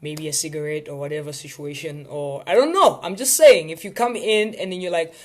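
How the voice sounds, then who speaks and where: A man's voice: a little high, a little fast. A man with a South African accent hosting a casual live session for viewers, talking in a rambling, playful way. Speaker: host of a live tips session.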